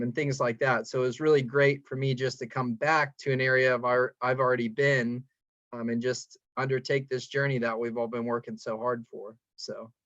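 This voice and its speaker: Male vet student, 20s, mid-pitched, relaxed, dead-dry lo-fi call audio. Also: no room sound. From Evansville, Indiana, US. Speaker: fourth-year veterinary student. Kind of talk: casual panel talk on a video call. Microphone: video call.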